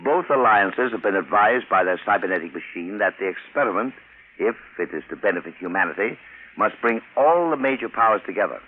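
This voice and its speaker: A tinny vintage broadcast with faint noise presents an actor as a dignified male President. His voice is very low, his delivery measured and official, in fifties radio drama style. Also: expressive, close to an everyday voice. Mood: formal. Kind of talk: 1950s radio drama acting.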